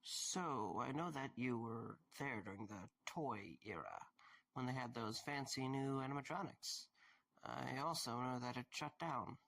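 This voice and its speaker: Man playing a wry, gravelly, weary guy. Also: medium pitch, measured pace, a bit bright. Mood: casual, tentative. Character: Mike, tired, wry, kindly man investigating mysteries. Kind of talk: audio drama acting.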